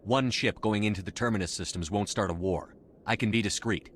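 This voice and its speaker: An actor playing a blunt, steady military commander in a video game, firm and clipped, with music behind him. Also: male voice, on the low side, a little fast, slight background noise, close to an everyday voice. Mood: firm, insistent.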